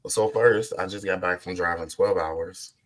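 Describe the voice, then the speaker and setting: A man with a baritone voice, hoarse from a cold, chatting laid-back as a podcast co-host. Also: steady tone. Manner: laid-back, drawn-out "man" and "you know". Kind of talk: casual chat.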